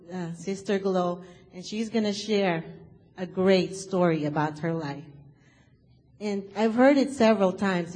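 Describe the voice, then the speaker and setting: A woman's voice: medium pitch, a little mellow, rough recording. A woman from the Philippines with a Filipino accent, whose first language is Tagalog, earnestly introducing the guest speaker at a church service. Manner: earnest, enthusiastic. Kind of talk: formal introduction. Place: church sanctuary.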